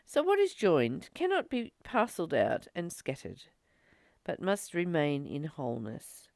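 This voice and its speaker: A woman giving an informal spiritual talk as a teacher, earnest, phrasing things with deliberate, emphatic pauses. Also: sits low, very animated, vocal fry, darkish tone, no room sound, clean studio sound. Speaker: spiritual teacher leading a daily course study.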